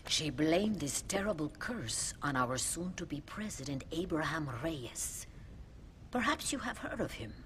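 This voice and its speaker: A woman playing a pious, sharp-tongued mother superior nun in a video game. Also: deep voice, very animated, unhurried, a little hiss or hum, heavily acted. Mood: stern. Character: stern middle-aged Mexican mother superior nun. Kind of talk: video game cutscene acting.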